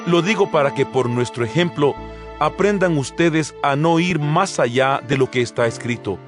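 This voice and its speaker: An actor playing the earnest, exhorting apostle Paul in a dramatized Bible reading, solemn and measured, with rhetorical delivery. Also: male voice, mid-range voice, natural voice. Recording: music bed behind the voice.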